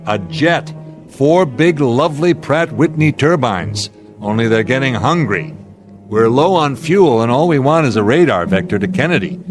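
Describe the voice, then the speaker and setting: An actor playing a steady, authoritative airline captain under strain in a radio drama, speaking deliberately. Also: male voice, mid-range voice, music in the background, natural voice. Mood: exasperated, insistent.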